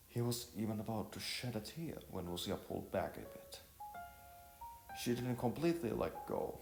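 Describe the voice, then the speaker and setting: A man reading a scripted story as narrator, often stumbling and repeating phrases as he goes. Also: lowish voice. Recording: roomy echo, clear music bed. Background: music.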